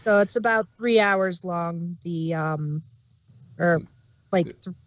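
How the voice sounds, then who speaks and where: A woman in mid-range pitch speaking slowly and easily on a review podcast. Her voice sounds dry and close, with mostly brief agreeing remarks and soft laughter. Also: no echo. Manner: slow, laid-back, brief agreeing replies with soft laughs. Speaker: podcast co-host reviewing an audio drama. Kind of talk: casual review chat.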